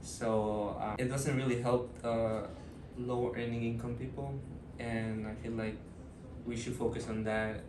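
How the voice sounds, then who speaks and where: A young man in his early twenties from Ecuador, answering interview questions in a thoughtful, hesitant way with many pauses and fillers. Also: mid-range voice, level tone, roomy sound, recorded from a distance, background noise. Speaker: student interviewee.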